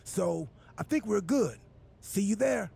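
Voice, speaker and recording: An actor playing a goofy, self-important wrestler turned boss in a video game cutscene, with a gravelly, husky voice and an earnest, absurdly literal, rambling manner. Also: male voice, high voice, lively intonation, slow pace, dark tone, exaggerated voice. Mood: breezy, rambling. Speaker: wrestler acting as general manager.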